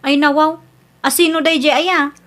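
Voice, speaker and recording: A woman acting a polite, soft-hearted but firm young single mother in a radio drama. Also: high-pitched, level tone, moderate pace. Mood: curious.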